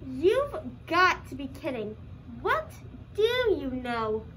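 A woman's voice: sits high, very animated, takes it slowly, fairly bright tone, exaggerated voice, low-quality recording. A young female student voicing a puppet character in a scripted comic puppet show. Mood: puzzled, exasperated. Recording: faint background noise.

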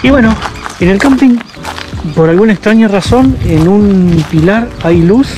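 Argentine guy in his 30s, slightly high voice, chill chatty vlog talk, loud music under it. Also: animated, unhurried. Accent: Argentine Spanish. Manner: chatty, easygoing, thinks aloud with fillers. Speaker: bike-touring vlogger. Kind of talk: casual travel vlog talk to camera. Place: outdoors at an abandoned campground. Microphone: action camera held at arm's length.

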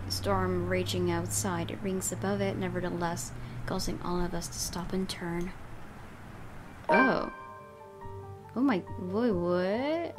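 A woman hosting a gaming video, reading story narration aloud dramatically with casual reactions. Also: medium pitch. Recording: game music clearly behind. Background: game music.

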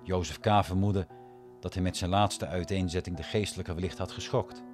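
A man narrating a scripted radio drama in a measured storytelling manner. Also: sits low, fairly even intonation. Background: music.

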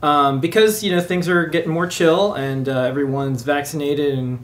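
A man's voice: fairly high pitch, steady tone, moderate pace. A man chatting casually as co-host of a live show.

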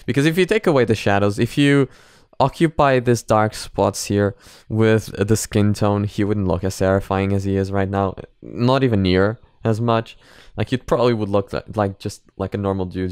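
Young man, low smooth voice, casual reaction commentary, chatty, close dry mic. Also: a little mellow, no room sound. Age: 20s. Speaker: reaction channel host. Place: small home room, indoors. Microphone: microphone on boom arm, close.

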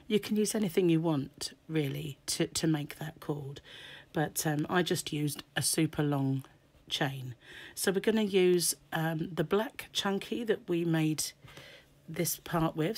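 A woman with a notably low, croaky voice talks through a crochet lesson at home. She rambles casually with plenty of ums and clears her throat now and then. Her voice sounds hoarse throughout. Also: no room sound. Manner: chatty, many fillers, frequent throat clearing. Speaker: crochet tutor. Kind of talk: casual tutorial explanation. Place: home table, indoors. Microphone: camera over hands, speaker off-screen.

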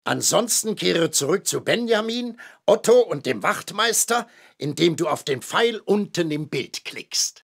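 An actor voicing a friendly talking traffic light in a children's game, with a husky, creaky voice. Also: male voice, high-pitched, sing-song, a little slow, a bit bright, full character voice. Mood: inviting.

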